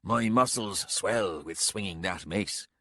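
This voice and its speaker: A man voicing an old, bent castellan who speaks low, steady and patient. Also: slow, no room sound, clean studio sound, full character voice. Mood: quiet.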